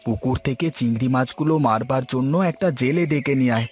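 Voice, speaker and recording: A man's voice: mid-range voice, fast talker, a little mellow, faint music behind, natural voice. A man voicing a good-natured village farmer and doting father in a cartoon, folksy and full of exclamations. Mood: surprised. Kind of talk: cartoon dialogue.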